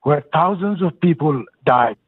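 A man's voice: on the high side, lively intonation, measured pace, slightly rough, no echo. Earnest Bangladeshi man on a tinny phone line. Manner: earnest, hesitant, with restarts. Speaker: nonprofit executive director, guest. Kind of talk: casual interview over the phone. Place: calling in remotely.

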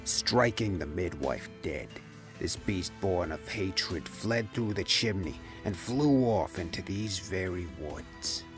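Grave monster-legend presenter for audio drama, played by a man: deep, unhurried, theatrical and ominous, over a music bed. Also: lively intonation. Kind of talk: dramatic documentary-style scripted narration.

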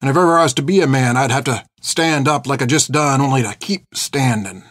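A male audiobook narrator voicing an old reformed pirate, gruff and haunted, talking in rustic, ungrammatical sailor's speech. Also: mid-range voice, a little fast, a bit bright, no echo, studio quality, close to an everyday voice. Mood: subdued, remorseful. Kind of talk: dramatic audiobook character acting.

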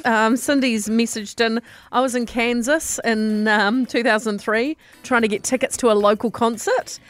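A woman's voice: on the high side, fairly even intonation, moderate pace. A woman with a New Zealand accent co-hosting a radio show, reading out listener stories with frequent laughter. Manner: laughs often while telling listener stories. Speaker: radio co-host. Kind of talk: casual radio chat.

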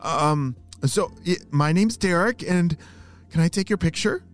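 A woman's voice: fairly low pitch, a little slow, soft background music, voice pushed up, close to an everyday voice. A woman voicing an eager, curious young boy in a children's story, with exclamations and hesitant stammers. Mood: nervous, curious.

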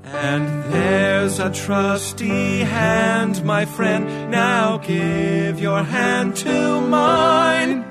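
A woman sings a sentimental song in a middle register. The phrasing is very drawn out, and loud music accompanies her. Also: medium pitch, expressive, drawn-out words, few pauses. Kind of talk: slow sentimental singing. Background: prominent musical accompaniment.

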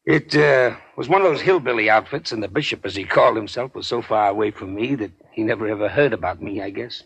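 A low, raspy old-time radio hoodlum, creaky and rueful. He rambles along in a folksy, confiding manner, with hesitations, like someone owning up to his own shortcomings. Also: fast talker, a bit bright, full character voice. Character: rueful, rambling small-time gangster, once a hillbilly preacher. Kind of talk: 1940s radio crime drama acting.

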